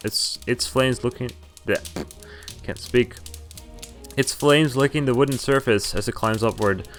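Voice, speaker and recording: A man's voice: medium pitch, a little slow. A man streaming a visual novel, reading its story aloud dramatically, stumbling over words now and then and dropping casual asides and swearing. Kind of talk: dramatic read-aloud of game narration and dialogue with casual asides. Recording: music behind the voice. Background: game music.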